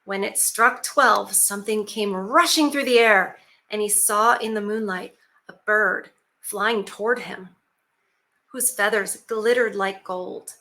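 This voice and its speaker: Bright-voiced woman reading a fairy tale. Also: mid-range voice, a little slow. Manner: chatty, with fillers and asides to viewers. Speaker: YouTube storyteller. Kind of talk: reading a fairy tale aloud, with casual asides.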